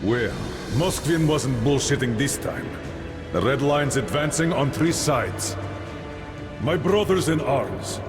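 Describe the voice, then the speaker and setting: A man's voice: mid-range voice, animated, takes it slowly, over music. A man playing a hardened, blunt military leader addressing his soldiers in a video game. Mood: grim. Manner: forceful, blunt, commanding. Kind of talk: video game cutscene acting.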